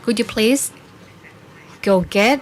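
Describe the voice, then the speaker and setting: A woman interpreting live for a panel speaker, talking haltingly in short broken phrases. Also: a little high.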